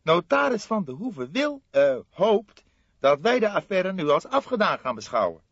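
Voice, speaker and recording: An earnest young male detective sidekick, acted out by a man reading an audiobook, pitched high and swinging widely with questioning, reproachful eagerness. The recording is dry and slightly dull. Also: very animated, moderate pace, a bit bright, slightly rough, dry recording, heavily acted. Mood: eager reasoning. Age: young adult. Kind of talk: audiobook character acting.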